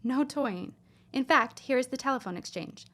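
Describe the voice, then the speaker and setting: A woman's voice: sits high, lively intonation, moderate pace, fairly bright tone. An actress playing an eager, helpful young woman, earnest and emphatic, in a period audio drama. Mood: excited, earnest. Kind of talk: period radio-style audio drama acting.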